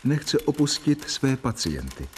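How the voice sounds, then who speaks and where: An actor playing a devoted assistant doctor in a radio play, narrating and talking in an earnest, measured, polite way. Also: male voice, medium pitch, sing-song, a little hiss or hum, close to an everyday voice.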